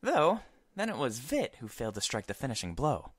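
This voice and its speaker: A woman's voice: a bass voice, big pitch swings, a little fast, slightly rough, no echo, studio quality, exaggerated voice. A woman playing a formal, imperious female commander in a visual novel, giving exacting, scolding orders. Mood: accusing. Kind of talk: visual novel character acting.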